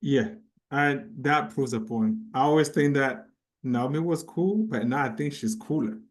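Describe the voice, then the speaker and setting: A man's voice: medium pitch, unhurried. A man giving casual comments as a participant in a group workshop.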